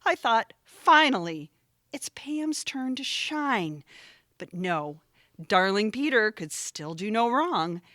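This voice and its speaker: A woman plays an aggrieved, competitive sister in an audio family drama. Her fairly high voice leaps around with sarcasm and self-pity, recorded very dry. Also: sing-song, slow, a bit bright, no echo, heavily acted. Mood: bitter, triumphant. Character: resentful, competitive, overdramatic grown sister. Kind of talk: audio theatre family comedy-drama acting.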